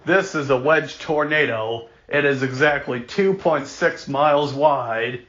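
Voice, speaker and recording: On a dull, lo-fi recording, a man delivers a mock emergency broadcast. His voice is forceful and a little high for a man. He speaks slowly and solemnly, as an announcer stressing each warning. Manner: solemn, deliberate, emphatic, stumbles and repeats words.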